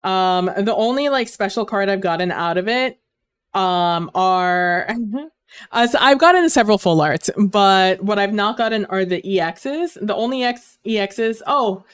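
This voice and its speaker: A woman's voice: medium pitch, animated, unhurried, smooth, no echo. Woman, gaming streamer, headset mic, casual rambling chat, losing her voice, dry close sound. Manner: chatty, rambling with fillers.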